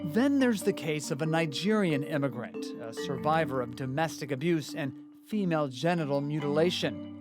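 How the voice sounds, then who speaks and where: A male news reporter narrating an investigative report in a measured, earnest way. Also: fairly high pitch, animated, over music.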